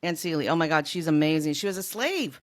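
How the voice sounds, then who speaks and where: A woman with a notably low voice co-hosts a casual book discussion. Her intonation is very lively, swinging up and down as she chats. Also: quick pace. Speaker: podcast co-host.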